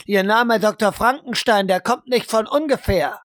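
A woman's voice: medium pitch, moderate pace, no room sound, clean studio sound, close to an everyday voice. A woman playing a stern psychologist who confronts a criminal bluntly and insistently in an audio role-play. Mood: insistent, accusing.

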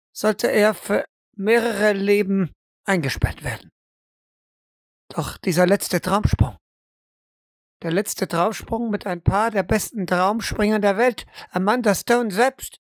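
A woman's voice: medium pitch, no echo, studio quality, close to an everyday voice. A woman voicing an earnest television news reporter with grave, emphatic delivery in an audio role-play. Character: earnest female TV news reporter revealing a scandal.

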